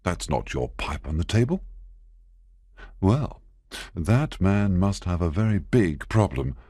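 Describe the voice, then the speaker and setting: A man voicing a brilliant, confident detective in a storybook reading, explaining his deductions in a measured, slightly impatient way. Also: deep voice, very animated, mellow, breathy edge, no echo, studio quality, exaggerated voice. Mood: assured, explaining.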